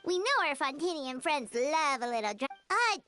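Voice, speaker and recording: A woman voicing a tiny, excitable, blunt female fairy companion in a video game, with a squeaky childlike voice and exclaiming manner. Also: fairly quick. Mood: excited, curious. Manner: speaks of self in third person, exclaiming. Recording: music playing behind the voice.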